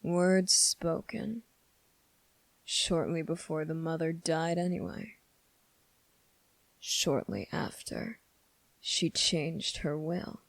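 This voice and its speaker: A woman voicing a sardonic, condescending demon in an ASMR roleplay, speaking deliberately with drawn-out pauses. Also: fairly low pitch, gravelly, darkish tone, no echo, full character voice. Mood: smug, sly. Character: sardonic, condescending demon summoned to make a deal. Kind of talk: ASMR roleplay, dramatic monologue to listener.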